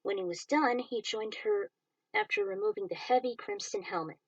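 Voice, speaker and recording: A woman's voice: fairly high pitch, very animated, normal speed, creaky, no room sound, dull audio. A woman reading a scripted story aloud as its narrator, with the odd stumble over a word. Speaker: narrator reading fan fiction.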